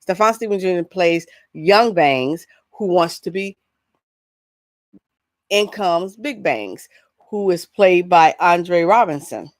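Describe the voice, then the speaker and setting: A woman's voice: lowish voice, expressive, slow, dry recording. A middle-aged woman hosting a casual entertainment vlog, chatting loosely and often rambling off into asides. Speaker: host of an entertainment news show. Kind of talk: casual solo vlog chat with announcements.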